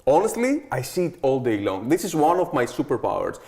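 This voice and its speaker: Picture a man in his thirties whose Greek accent colors every word. His pitch sits right in the middle for a man. He talks as a guest in a casual interview, eager and sure of himself, and keeps tossing in "okay" and "like" as he goes. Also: sing-song, fairly quick, warm tone.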